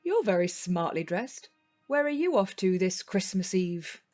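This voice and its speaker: A woman's voice: mid-range voice, very animated, a little slow, darkish tone, soft background music. An actress playing a cheeky, blunt British woman neighbour in an audio drama, casual, wry and teasing. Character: Rach, cheeky, blunt, friendly female upstairs neighbour. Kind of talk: naturalistic audio drama acting.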